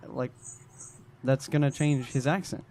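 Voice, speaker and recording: A man's voice: medium pitch, fairly even intonation, unhurried, darkish tone. A man in a casual group debate, talking in an argumentative, questioning way over a noisy recording.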